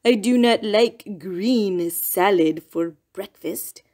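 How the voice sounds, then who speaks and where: A woman voicing a calm, polite male goat who speaks loudly and formally. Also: mid-range voice, slow pace.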